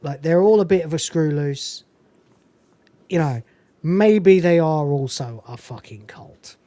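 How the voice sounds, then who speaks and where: A man's voice: fairly high pitch, measured pace, no echo. A man hosting a casual film review, talking animatedly and swearing now and then. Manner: animated, quotes film lines with put-on voices and swearing. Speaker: podcast host.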